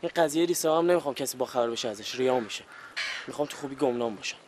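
A man's voice: mid-range voice, noisy background, natural voice. A man playing a boastful, self-pitying character who talks theatrically, with mock praise and sulky complaints.

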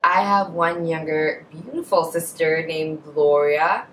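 A woman's voice: sits low, steady tone, slow, recorded from a distance, low-quality recording. A young Indonesian woman with an Indonesian accent, chatting casually to camera in her bedroom and correcting herself as she goes. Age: young adult. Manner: chatty, self-correcting, trails off into asides. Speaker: beauty vlogger. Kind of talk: casual chat answering a tag. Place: bedroom, indoors. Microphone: camera in front, at a short distance.

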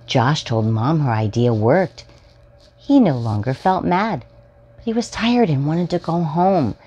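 A woman with a very low voice hosts a storytime for young children. She reads expressively, with lively rises and falls. It is a home recording with faint noise behind her. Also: a little slow, highs cut off. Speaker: storytime host reading children's books aloud. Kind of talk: children's read-aloud storytelling. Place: small furnished room at home, indoors.

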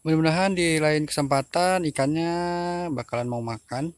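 A man's voice: a little high, moderate pace, a little hiss or hum. Young man vlogging casually outdoors. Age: young adult. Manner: informal, often addressing viewers as friends. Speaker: fishing vlogger. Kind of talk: casual chat to camera. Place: outdoors by a pond and fields.

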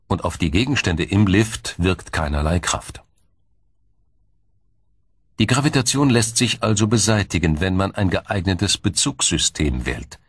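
A man reading scripted documentary narration in a measured, explanatory way. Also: deep voice, no room sound, studio quality. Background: music.

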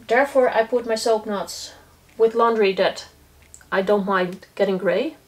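A woman's voice: mid-range voice, measured pace. A young woman demonstrating a laundry test on camera, talking casually and thinking aloud as she checks each item. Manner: matter-of-fact, thinking aloud while handling laundry. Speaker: eco-living vlogger. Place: small laundry corner indoors, sitting on the floor.

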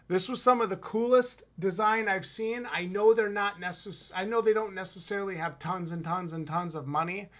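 A man with a Wisconsin accent talks casually into a headset mic from a room at home, reviewing a haunted house. His voice sits a little high and sounds bright and forward. He is enthusiastic and emphatic, with plenty of slang. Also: normal speed, few pauses. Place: home room with shelves, indoors. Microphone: headset with boom mic on video call.

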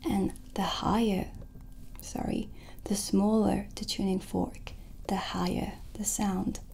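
A middle-aged woman whispers slowly and gently in ASMR style, close to the mic. Her delivery is soothing and unhurried, with some crackly fry when the voice comes through. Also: medium pitch, level tone. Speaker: ASMR host presenting a sound healing roleplay. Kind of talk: ASMR, soft whispered explanation. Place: small dim indoor set. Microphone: close ASMR microphone.

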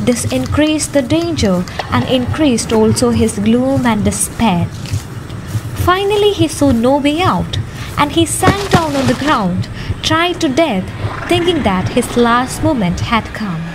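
A woman's voice: high voice, animated, a little slow. A young woman reading scripted narration for an amateur radio drama. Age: young adult. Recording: clearly noisy, ambient sound behind.

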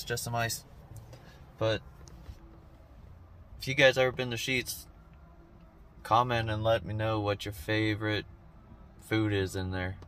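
A man's voice: lowish voice, a bit bright. A young man vlogging casually to the camera about a fishing trip, talking easily to his viewers from a car and then a boat. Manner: easygoing, laid-back, talks to viewers. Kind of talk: casual vlog chat to camera. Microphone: phone held at arm's length. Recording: noisy outdoor phone recording.

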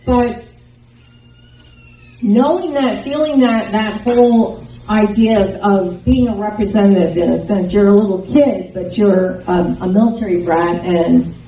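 Slow, dark-toned older woman speaking. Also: medium pitch, soft background music. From Taiwan. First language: Chinese. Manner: earnest, rambling with frequent restarts. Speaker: congressional candidate. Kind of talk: campaign speech. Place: indoor meeting room with bookshelves. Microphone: handheld microphone. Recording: roomy sound from a distant camera.

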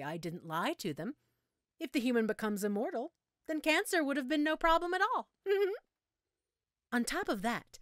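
A woman's voice: mid-range voice, dry recording, clean studio sound. Woman acting a mocking, swooping female mad scientist. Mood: fervent, proud. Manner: mocking, theatrical, taunting endearments. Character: menacing, gloating female mad scientist obsessed with immortality. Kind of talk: audio roleplay acting addressed to listener.

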